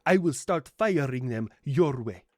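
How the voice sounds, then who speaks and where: A man voicing a blustering national leader in a comedic roleplay, fumbling through his words with a string of "uh"s. His voice sits at an ordinary middle pitch, and the sound is dry and close, with no room around it. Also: animated, darkish tone, no room sound. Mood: threatening. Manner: halting, stumbling over words.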